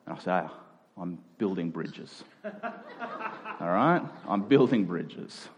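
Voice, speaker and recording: A man's voice: low-pitched, wildly swooping pitch, slow, highs cut off, slight background noise. A man preaching a sermon, repeating key phrases for emphasis and checking in with his listeners.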